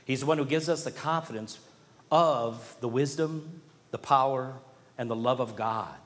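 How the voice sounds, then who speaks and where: A man preaching a sermon from the pulpit. His delivery rises to emphatic points and falls to hushed, earnest lines. His voice carries a long reverb from a large hall. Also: medium pitch, moderate pace. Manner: preaching with swells of emphasis and quiet drops. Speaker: pastor.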